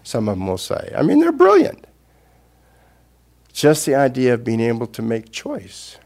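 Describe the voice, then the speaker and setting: An older man, a Buddhist teacher, giving an unscripted Dharma talk in a gentle, reflective way with pauses. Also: mid-range voice, huge pitch swings, vocal fry.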